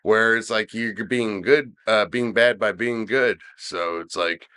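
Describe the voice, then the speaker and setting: A man's voice: on the low side, measured pace, sharp tone, clear, resonant tone. Stuffy-nosed man in casual chat. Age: middle-aged. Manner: rambling, with many restarts and fillers. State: stuffy nose, slightly nasal. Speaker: podcast co-host discussing wrestling.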